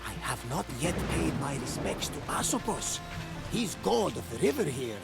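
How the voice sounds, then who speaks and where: Gravelly, exuberant old sea captain. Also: male voice, a little high, very animated, normal speed. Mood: cheerful, eager. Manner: exuberant, theatrical, eager. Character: jovial, superstitious older male sea captain. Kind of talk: video game cutscene acting. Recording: loud music behind the voice.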